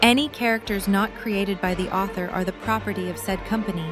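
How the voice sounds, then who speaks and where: Lady narrating a story for an audio drama, middle pitch, reading from a script. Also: steady tone, fairly quick, over music.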